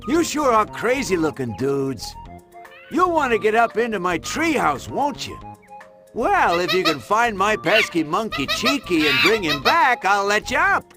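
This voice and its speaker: A man's voice: high-pitched, bright, forceful tone, exaggerated voice. A man voicing a boastful, goofy jungle-man king of the apes in a cartoon game, pompous and speaking in broken grammar. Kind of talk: cartoon video game acting.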